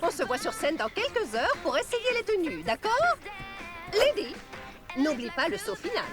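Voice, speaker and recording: A bossy young cartoon perfectionist, gives orders theatrically. The voice is high and very lively in pitch, exclaiming over a music bed. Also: female voice, moderate pace. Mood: excited, bossy. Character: bossy, excitable young female show organiser, perfectionist. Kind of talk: French cartoon dubbing. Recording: music underneath.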